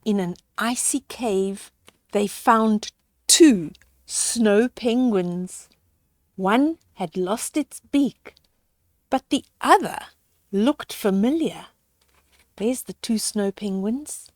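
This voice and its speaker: A woman's voice: medium pitch, extremely slow, darkish tone, no echo, studio quality. An older woman reads a children's story aloud, adding simple chatty asides for young listeners. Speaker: storyteller reading children's picture books aloud.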